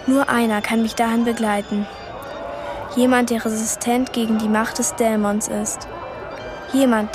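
A woman plays a thirteen-year-old girl with a high, youthful voice in a radio drama. She is earnest and determined, urgent and direct, with music behind her. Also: natural voice. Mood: resolute. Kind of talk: radio play acting.

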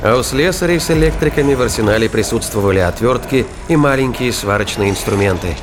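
A man reading scripted narration of a science fiction thriller as a dramatic, deliberate storyteller. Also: fairly low pitch. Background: music and battle sound effects.

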